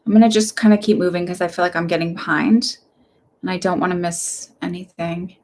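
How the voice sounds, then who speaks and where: Middle-aged woman, Texas-raised, no accent, lowish voice; relaxed, rambling, upbeat live-stream reader. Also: brisk. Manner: chatty and upbeat, rambling, laughs often; gentle and reassuring when giving advice. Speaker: psychic medium giving live readings. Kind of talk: casual live-stream readings.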